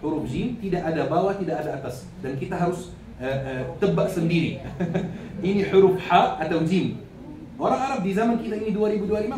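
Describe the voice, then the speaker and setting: A man with an Arabic accent, a native Arabic speaker, giving an Islamic lecture in a didactic way, repeating phrases and answering his own questions. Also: fairly high pitch. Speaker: Islamic preacher. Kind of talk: religious lecture, teaching with questions to listeners. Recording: echoey, noisy room, distant microphone.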